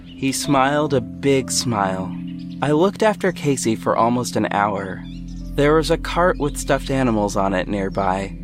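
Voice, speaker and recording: A man narrating an audio drama in the first person, in a reflective storytelling style. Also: lowish voice. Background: music under the voice.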